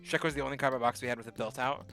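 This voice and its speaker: A man's voice: mid-range voice, fairly even intonation, rapid-fire, sharp tone, soft background music. A man in his twenties casually chatting with his live-stream chat while playing a game, rambling and answering messages, a little sick. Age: 20s. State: a bit sick, on bed rest.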